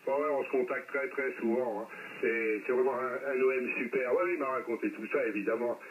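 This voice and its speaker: A man's voice: mid-range voice, a little fast. Man on a muffled, noisy ham radio. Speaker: amateur radio operator. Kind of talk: casual ham radio contact. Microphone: radio audio re-recorded on a phone. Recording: muffled radio, loud hiss and clicks.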